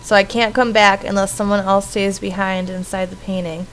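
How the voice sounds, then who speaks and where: A woman's voice: medium pitch, little pitch movement, normal speed, rough recording. A woman reading a visual novel aloud and commenting as she plays. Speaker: streamer playing a visual novel. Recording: faint background noise.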